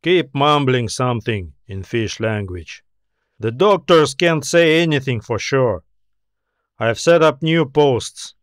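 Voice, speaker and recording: A man's voice: mid-range voice, expressive, slow, dry recording, clean studio sound. A man voicing a self-assured, grim military colonel who gives formal, confident reports in a science fiction audiobook.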